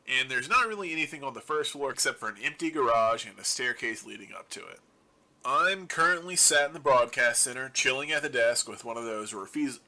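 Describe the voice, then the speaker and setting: A man's voice: medium pitch, creaky, pushed and bright, full character voice. A man playing a wry survivor of a zombie apocalypse in an audio drama, rambling to his listeners in a casual, sarcastic, self-deprecating radio monologue. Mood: casual, curious.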